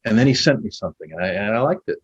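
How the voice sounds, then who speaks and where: Husky, low-voiced cynical agent played by a man. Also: lively intonation, rapid-fire, highs cut off. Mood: defensive, earnest. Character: probing, cynical former literary agent, needling and defensive. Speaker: actor in a two-man play. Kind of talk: naturalistic stage dialogue.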